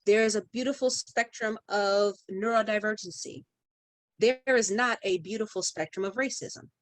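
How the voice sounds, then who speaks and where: A woman guest talking on a podcast, emphatic and deliberate, stacking up her points through repeated rhythmic phrases. Her voice sits at a middling pitch and is recorded close and dry, with no room sound. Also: no echo. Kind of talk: conversational podcast interview.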